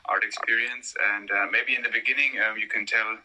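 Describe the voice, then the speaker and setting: A man's voice: lowish voice, steady tone, fairly quick, sharp tone, rough recording. A man hosting a live-stream talk with an art collector, speaking hesitantly with frequent fillers over a video call. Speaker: host of collector talks.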